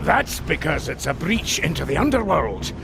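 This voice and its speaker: A man's voice: a little high, sing-song, moderate pace, creaky, over music. An actor playing a shifty, blustering male dwarf treasure hunter in a comic fantasy game, evasive and full of excuses. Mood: sheepish, ominous. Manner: evasive, self-excusing, hesitant asides.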